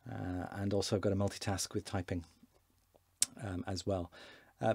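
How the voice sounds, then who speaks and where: A man in his forties, a fluent Welsh speaker, giving a relaxed, chatty beginner lesson from his desk with hesitations and repeated words. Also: fairly low pitch, no room sound, clean studio sound. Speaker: Welsh language teacher. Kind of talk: casual online lesson.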